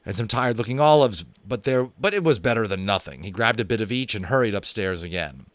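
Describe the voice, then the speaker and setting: An American man narrating a children's novel aloud in a dramatic, animated way, with chatty, self-amused asides. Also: lowish voice, fast talker, no room sound. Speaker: audiobook reader and host. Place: home room.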